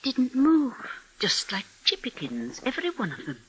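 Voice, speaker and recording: High, plaintive, frightened child. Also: female voice, wildly swooping pitch, normal speed. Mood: hushed wonder. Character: frightened, wondering young child of the family. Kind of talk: 1950s radio drama acting. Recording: noisy old radio recording.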